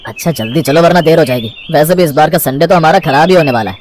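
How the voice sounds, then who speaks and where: Man playing an exasperated young husband, talking fast. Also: on the high side, lively intonation, noisy background, close to an everyday voice. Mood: pleading, grumbling. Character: exasperated young husband, pleading, grumbling good-naturedly. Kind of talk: animated moral story dialogue.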